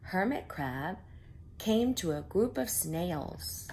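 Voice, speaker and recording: A woman reading a story aloud to young children, explaining simply with drawn-out emphasis like a teacher. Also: fairly low pitch, animated, a little mellow, slight background noise.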